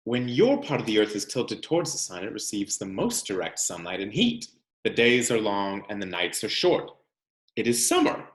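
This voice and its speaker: A man reading a children's science book aloud as a teacher, expressive and explanatory, speaking directly to young students. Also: fairly low pitch, normal speed, roomy sound.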